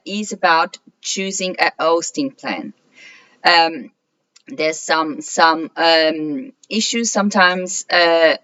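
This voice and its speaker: Slow, halting, slightly hoarse woman. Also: low voice. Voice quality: clears throat now and then. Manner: halting, many ums and uhs. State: slightly hoarse from allergy, coughing. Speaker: host of a weekly online meeting for translators. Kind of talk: casual solo talk to camera. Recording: dull lo-fi sound.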